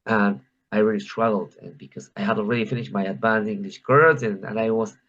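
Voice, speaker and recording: Low-voiced Peruvian man, Spanish accent, lo-fi line. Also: steady tone, fairly quick, a bit bright. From Peru. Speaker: club member. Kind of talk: casual conversation practice.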